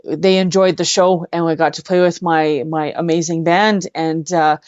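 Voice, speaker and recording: Woman, lowish voice, effusive interview guest telling stories casually, slightly dull audio. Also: steady tone, moderate pace, darkish tone, clean tone, no room sound. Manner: effusive, repeats words for emphasis. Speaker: interview guest, singer-songwriter and actress.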